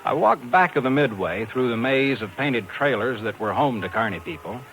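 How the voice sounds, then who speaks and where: A wry, persistent talent agent, with a mid-pitched, very lively voice. Old radio drama recording with music behind. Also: male voice, moderate pace, low-quality recording. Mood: wry, reflective. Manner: wry, hard-boiled. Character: brash, persistent male New York talent agent. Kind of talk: 1950s radio drama acting with first-person narration.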